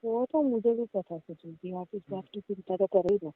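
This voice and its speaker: A woman's voice: medium pitch, moderate pace, lightly acted. Woman playing a coy daughter-in-law on phone. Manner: agreeable, brief replies and soft hums. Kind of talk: staged intimate phone-call role-play in casual talk. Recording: tinny phone-line call recording.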